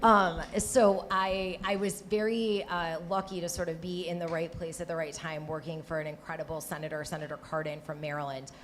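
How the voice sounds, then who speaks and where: A woman's voice: deep voice, little pitch movement, brisk, few pauses, a little hiss or hum. A woman with a Michigan accent speaking on a live panel discussion about water policy, talking conversationally with frequent restarts and "right?" tags. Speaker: panelist, former Senate staffer.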